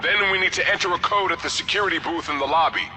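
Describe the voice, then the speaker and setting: An actor playing a blunt, principled male mercenary in a video game, plain-spoken and steady. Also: fairly high pitch, fast talker. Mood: determined. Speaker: mercenary soldier. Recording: game cutscene with loud music.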